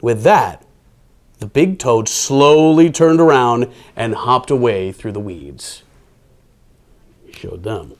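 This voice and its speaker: A man's voice: medium pitch, lively intonation, slow, pauses often. A man hosting children's storytime, reading a picture book aloud with playful, theatrical delivery and casual asides.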